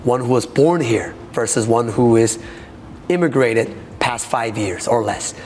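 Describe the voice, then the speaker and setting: A man from South Korea with a Korean accent, a taekwondo master speaking haltingly in an interview, with many fillers. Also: mid-range voice, a little mellow, long reverb tail, slight background noise.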